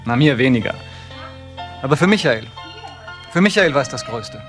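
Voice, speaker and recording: A man's voice: medium pitch, animated, moderate pace, fairly bright tone, faint music behind, lightly acted. An actor playing a defiant, accusatory young man defending his brother in a German crime radio drama. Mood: reflective.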